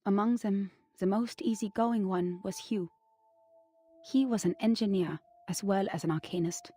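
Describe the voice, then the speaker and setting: A woman's voice: mid-range voice, dark tone, faint music behind, lightly acted. Woman voicing a wistful record-keeper. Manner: measured, wistful storytelling. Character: reflective woman recalling a strange acquaintance. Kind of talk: game narration of a written record.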